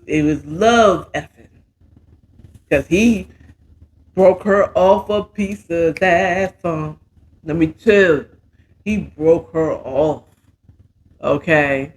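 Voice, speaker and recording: An American man hosting a show, casually retelling a romance story with dramatic, sassy asides and drawn-out exclamations. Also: high voice. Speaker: host of a story recap show.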